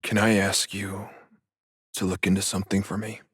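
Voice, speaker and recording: An actor playing an earnest, grave young politician in a video game cutscene, with a husky, breathy, restrained, somber voice. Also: male voice, sits low, level tone, moderate pace, fairly bright tone, no echo, clean studio sound, heavily acted. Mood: somber, resolute.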